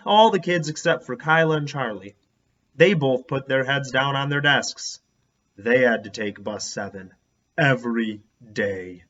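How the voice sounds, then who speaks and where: Animated man narrating a children's story. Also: fairly high pitch, muffled recording. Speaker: read-aloud storyteller. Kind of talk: expressive children's book narration.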